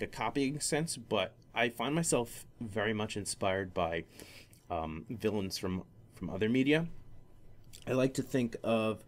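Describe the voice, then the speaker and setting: A young man hosting his own podcast alone, talking in a low voice. He rambles loosely and keeps stopping to restart his sentences, with plenty of ums and uhs. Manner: rambling, many fillers and restarts. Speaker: podcast host. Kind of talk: solo casual talk.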